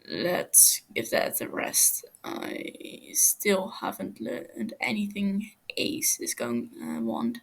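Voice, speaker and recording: A woman voicing a calm, menacing, wry male torturer with a measured, growling delivery in a story reading. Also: on the low side, vocal fry, full character voice, poor audio.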